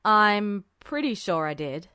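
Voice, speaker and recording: A stiff, officious male soldier from an audio story, acted out by a woman reader. The voice drops well below the reader's own and is easily flustered. It swings in pitch and sits close and dry. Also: big pitch swings, takes it slowly, pushed and bright, clean tone, no room sound, heavily acted. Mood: flustered, insistent. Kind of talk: character dialogue in fan fiction reading.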